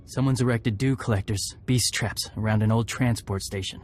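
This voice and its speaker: An actor playing a serious, honor-bound tracker in a video game cutscene, talking in clipped, matter-of-fact phrases. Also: male voice, on the low side, steady tone, normal speed, a little hiss or hum, natural voice. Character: terse, serious male Mandalorian tracker seeking honor.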